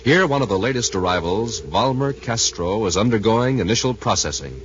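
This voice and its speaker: A man narrating a 1950s radio drama as its announcer, with dramatic, deliberate scripted delivery. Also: fairly low pitch, animated. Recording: muffled, noisy 1950s radio recording.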